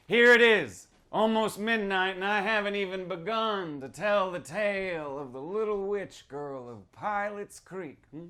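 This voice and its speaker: A man's voice: a little high, fairly bright tone. A man reading horror fiction aloud as a boozy old small-town storyteller, cagey and conspiratorial, prodding the listener and repeating himself. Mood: teasing, sly. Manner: theatrical, conspiratorial, repeats phrases, prods listener with "huh" and "hmm". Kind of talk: dramatic first-person horror reading.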